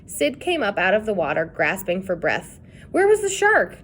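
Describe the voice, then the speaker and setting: A woman reads a children's chapter book aloud, telling it in an expressive way. Her voice is lively, rising and falling a lot through the narration. Her pitch sits in a middle range. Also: moderate pace, slight background noise. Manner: expressive storytelling. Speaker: reader.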